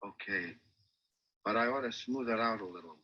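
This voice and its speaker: An actor playing a meek, confused, mentally broken gangster prisoner in a halting mutter, 1930s radio style, on an old recording. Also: male voice, fairly low pitch, dull audio, natural voice. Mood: dazed, fretful.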